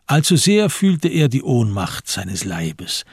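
A man narrating a literary radio drama in a measured, grave storytelling manner. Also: mid-range voice, big pitch swings, creaky, slightly rough, no echo, studio quality.

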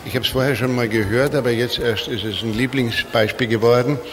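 Wry guy commenting, amused and a bit halting, mid voice, noisy background. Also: medium pitch, fairly even intonation. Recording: clear background noise.

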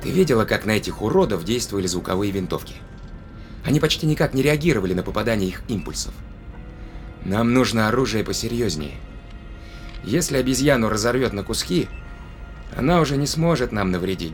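A man acting a low-voiced, commanding spaceship crewman. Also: expressive, brisk, faint music behind, natural voice. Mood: calculating, tense. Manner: commanding, reasoning aloud. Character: decisive male spaceship crewman planning a counterattack. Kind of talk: audio drama acting.

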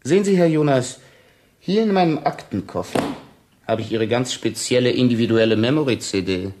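A man's voice: mid-range voice, lively intonation, moderate pace, background noise, close to an everyday voice. Young male killer posing as salesman male actor: mid-range voice, polite, insistent, businesslike, radio drama. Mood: smooth, businesslike. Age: young adult.

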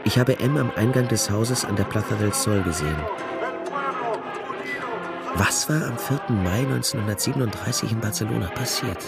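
An actor playing a dogged male private detective in a 1930s crime radio drama, explaining things in a deliberate, matter-of-fact way. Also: on the low side, vocal fry. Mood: reflective. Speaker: detective and first-person narrator. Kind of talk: German radio crime drama acting and narration. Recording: studio radio drama with music underneath.

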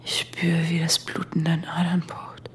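Woman acting weary wounded wife, low whisper. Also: completely flat delivery, normal speed, lightly acted. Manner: breathy whispering. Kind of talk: German radio drama acting.

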